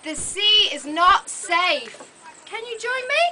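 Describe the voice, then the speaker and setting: A woman's voice: extremely high pitch, very animated, takes it slowly, bright, forceful tone. A woman narrating a puppet show to young children with exaggerated, simple, questioning phrases. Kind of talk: animated storytelling to young children. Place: open-sided thatched hall, on a small stage. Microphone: camera some metres away. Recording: noisy open-hall recording, camera at a distance.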